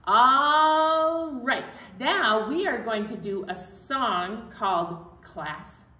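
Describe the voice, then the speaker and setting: A woman's voice: a little high, expressive, very slow, sharp tone. A middle-aged woman hosting a children's library storytime, talking simply to young children. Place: indoor library storytime room. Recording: echoey room, microphone far off.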